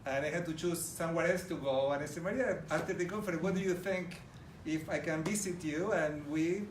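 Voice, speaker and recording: A man's voice: a little high, a little fast. A middle-aged man from Argentina, with an Argentine Spanish accent, gives an enthusiastic presentation to a live audience and talks to them directly. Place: large room with an audience. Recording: roomy, distant audio with background noise.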